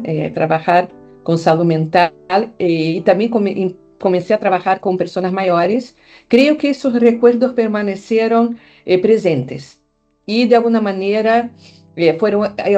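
A woman's voice: mid-range voice, warm tone, muffled recording. A middle-aged woman from Brazil with a Brazilian Portuguese accent, a psychologist answering interview questions in a reflective manner with many hesitant fillers. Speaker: psychologist, foundation founder.

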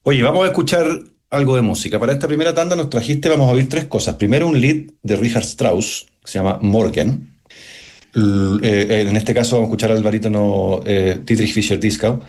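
A man's voice: fairly low pitch, brisk, darkish tone. A man hosting a radio interview, introducing the music with brief, easy remarks. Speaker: radio host.

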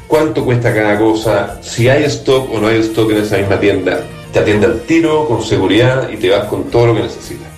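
A man with a Chilean accent reads a persuasive sales pitch and speaks straight to the listener. His voice sounds a little off-mic in a roomy, echoey space. Faint music plays under him. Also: fairly quick, hardly any pauses, darkish tone, recorded from a distance. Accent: Chilean Spanish. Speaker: startup CEO. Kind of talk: scripted pitch.

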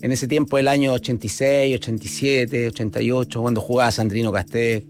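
A man's voice: medium pitch, flat delivery, fairly quick, darkish tone, soft background music. A middle-aged man with a Chilean Spanish accent, a former footballer telling stories from his career in a chatty, reminiscing way. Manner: chatty, reminiscing, repeats himself. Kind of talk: casual interview storytelling.

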